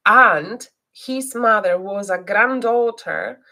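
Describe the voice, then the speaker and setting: A woman psychic hosting a live stream who speaks non-native English with a slight Scottish twang. She hesitates often, filling pauses with ums and restarts, and turns soft and guiding when she leads a reading. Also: medium pitch. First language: not English.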